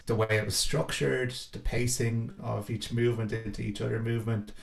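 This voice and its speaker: A man's voice: mid-range voice, little pitch movement, normal speed, vocal fry. A man who is a composer talking reflectively about his own work in a hesitant, thoughtful way. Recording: roomy echo, faint music behind.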